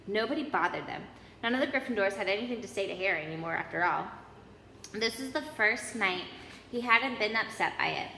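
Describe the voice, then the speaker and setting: A woman reading a children's storybook aloud in an expressive narrating style. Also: mid-range voice, a little fast, vocal fry. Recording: echoey hall, background noise.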